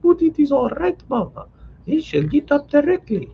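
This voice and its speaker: A man voicing a gentle young wife who speaks softly and reassuringly. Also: very high voice, sing-song, moderate pace, vocal fry, lo-fi sound, slight background noise, pitched above the performer's own voice, heavily acted. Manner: soft, reassuring, smiling.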